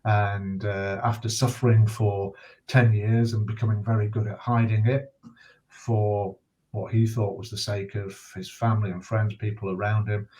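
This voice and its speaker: A middle-aged man speaking as an interview guest, giving serious personal testimony in a measured, halting way with deliberate pauses. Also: lowish voice, darkish tone, poor audio. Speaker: interview guest, bereaved father and campaigner.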